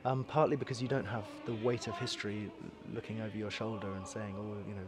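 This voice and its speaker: A man's voice: fairly low pitch, flat delivery, fast talker. A male opera singer in a promotional interview, speaking eagerly and thinking aloud with repeated words.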